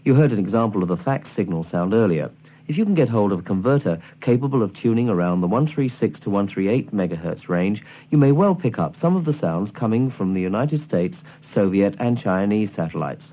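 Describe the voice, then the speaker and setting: A man presenting a scripted instructional guide, explaining things in a clear, didactic way. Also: fairly low pitch, animated, brisk, narrow, tinny audio. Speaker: presenter of a shortwave listening guide.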